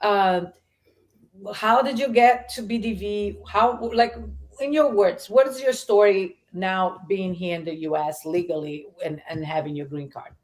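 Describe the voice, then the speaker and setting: A woman's voice: medium pitch, measured pace, low-quality recording. A woman from Argentina hosting a live stream, talking in a chatty way and often restarting her sentences. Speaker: host.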